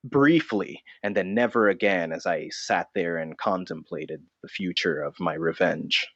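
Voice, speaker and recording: A man voicing an earnest, self-serious vigilante notebook disguised as a bat, speaking in solemn, halting, grandiose fashion in an improvised comedy interview. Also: low-pitched, a bit bright, heavily acted. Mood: brooding. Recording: dull, roomy lo-fi sound.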